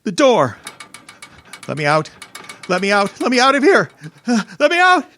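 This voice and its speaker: A man's voice: very high-pitched, huge pitch swings, a little slow, sharp tone, a little hiss or hum, heavily acted. An actor playing a panicky, imperious rich man in old-time radio horror style, stammering and pleading. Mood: desperate pleading. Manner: stammering, pleading, theatrical gasps. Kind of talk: old-time radio horror acting before a live audience. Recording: long reverb of a live hall.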